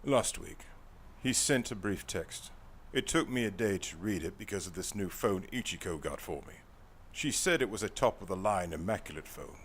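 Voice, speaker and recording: A man's voice: deep voice, expressive, normal speed, a little hiss or hum. A man playing a formal, dry, weary older private investigator in an audio drama, speaking in precise, wordy sentences. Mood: wry. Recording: music behind the voice.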